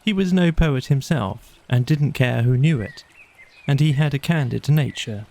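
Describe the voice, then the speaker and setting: A man reads a bedtime story as an audiobook narrator. His delivery is measured, in a mid-range voice with a mellow, relaxed tone and noticeable creak. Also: mid-range voice.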